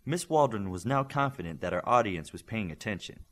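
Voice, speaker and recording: A man narrating scripted fiction as an audiobook reader, with expressive delivery that lifts into dialogue. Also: on the low side, brisk.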